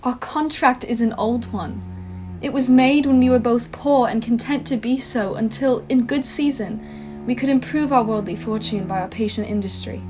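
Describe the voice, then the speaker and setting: A young woman on a school stage playing a hurt young fiancée, speaking with measured, dignified reproach. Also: a little high, darkish tone, soft background music, natural voice. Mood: sorrowful. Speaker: stage actor. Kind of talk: school stage play acting.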